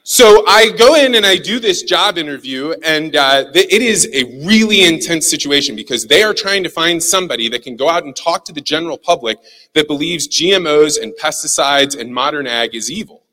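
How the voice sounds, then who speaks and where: A Midwestern American man from Missouri, speaking as a guest to a hall full of people. His voice is sharp and bright, a little high for a man, and he tells his stories in an animated, self-deprecating way. A long reverb trails after him through the large room. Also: fairly quick. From St. Louis, Missouri. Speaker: guest speaker, communication consultant. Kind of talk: storytelling keynote talk. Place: large hall with a live audience.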